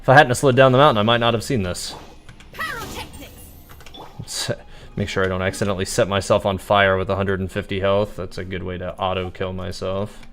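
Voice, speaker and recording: A man's voice: sits low, fairly even intonation, brisk, fairly bright tone. A man giving casual, easygoing live game commentary as a streamer, thinking aloud as he plays. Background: game music.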